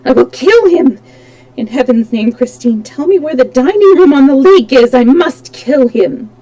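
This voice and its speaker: A woman's voice: on the high side, a little slow, close to an everyday voice, low-quality recording. A woman doing a furious young suitor. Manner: shouting, urgent. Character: desperate jealous young man, fierce and impulsive.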